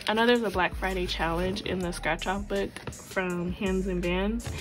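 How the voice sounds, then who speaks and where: A woman's voice: mid-range voice, fairly even intonation, moderate pace, music in the background. A woman with a sore throat, casually chatting as she counts cash aloud for her budgeting videos at home. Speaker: budgeting channel host. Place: tabletop at home. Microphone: overhead camera, hands only.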